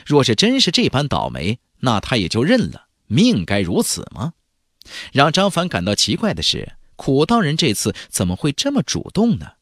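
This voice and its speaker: A man's voice: medium pitch, huge pitch swings, moderate pace, fairly bright tone, no echo, studio quality. A man narrating a fantasy audiobook in a dramatic, suspenseful, theatrical storytelling style. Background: faint background music.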